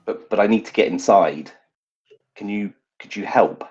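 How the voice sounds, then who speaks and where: A man's voice: fairly low pitch, moderate pace, darkish tone, husky, lo-fi sound. A man playing an earnest, slightly pompous would-be knight in a tabletop game, pleading his case.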